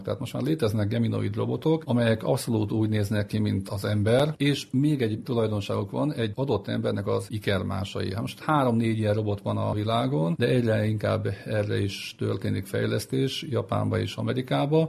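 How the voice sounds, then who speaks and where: Male expert interviewee, heavily creaky and husky, informal explanatory delivery. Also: fairly low pitch, darkish tone.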